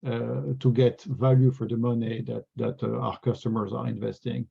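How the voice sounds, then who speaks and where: A man's voice: mid-range voice, flat delivery, normal speed, vocal fry, breathy edge, narrow, tinny audio. A man from France with a French accent, an executive answering interview questions earnestly and often repeating words as he thinks, heard over a video call. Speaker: regional sales executive.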